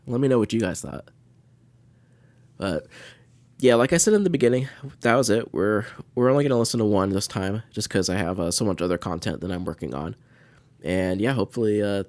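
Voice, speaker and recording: A man's voice: fairly low pitch, a little mellow, no room sound. A man hosting a podcast, chatting casually to listeners in a rambling, laid-back way. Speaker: podcast host. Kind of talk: casual unscripted chat to listeners.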